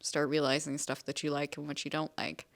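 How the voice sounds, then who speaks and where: A woman's voice: low voice, flat delivery, brisk, no room sound, clean studio sound. A young woman artist chatting casually in a vlog, a little nasally from a cold, with frequent ums and likes. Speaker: artist vlogger.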